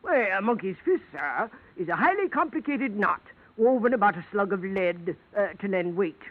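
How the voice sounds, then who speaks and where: High-pitched, shifty salvage dealer, florid mock courtesy, vintage radio drama, muffled recording. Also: male voice, very animated, moderate pace. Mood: explanatory. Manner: florid, mock-polite, chuckling, orotund phrasing. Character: courtly, shifty ship-salvage man in a sloppy suit. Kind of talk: 1940s radio detective drama acting. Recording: dull, band-limited old radio recording.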